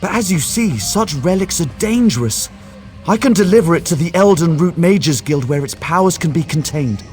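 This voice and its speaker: Scholarly fantasy game mage, male actor: formal, earnest, excitable, animated intonation, faint music behind. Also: fairly high pitch, moderate pace, natural voice. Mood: earnest, concerned. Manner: polite, formal, expressive. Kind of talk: video game character acting.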